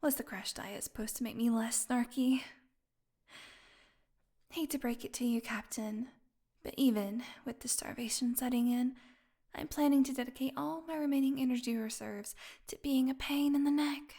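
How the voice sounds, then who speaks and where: A woman's voice: sits high, fairly even intonation, normal speed, mellow. A woman playing a snarky, defiant, starved vampire prisoner in an audio roleplay, with a sarcastic, taunting drawl. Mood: sardonic, weary.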